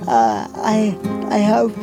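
A man's voice: sits high, sing-song, slow, creaky, over loud music. An American man in his late teens talking casually as an interview guest. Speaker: interview guest, high school senior.

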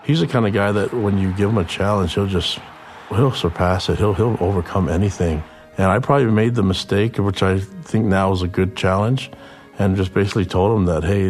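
A man's voice: low voice, darkish tone. A man speaking as a mentor in a documentary interview, talking casually and conversationally.

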